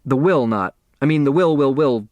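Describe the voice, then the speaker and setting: A male reader plays an officious storybook lawyer who is easily flustered. He talks formally and stumbles a little, with a very mobile pitch in a dry recording. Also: expressive, moderate pace, darkish tone, no echo, natural voice. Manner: formal, stumbling over words.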